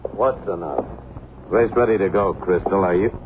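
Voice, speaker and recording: An actor playing a big, surly, menacing crook, curt and threatening, in an old-time radio drama. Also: male voice, sits low, moderate pace, natural voice. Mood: menacing, defensive. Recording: dull, noisy vintage radio recording.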